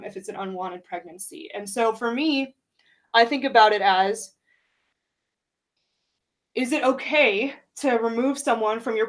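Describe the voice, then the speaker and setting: Host: woman, slightly high voice, casual, lots of ums. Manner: casual, filler-heavy. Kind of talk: casual live-stream chat.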